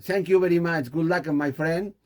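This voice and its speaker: A man's voice: on the high side, fairly even intonation, normal speed, a little mellow. A man from Spain with a Spanish accent making amateur radio contacts, repeating his words and callsigns.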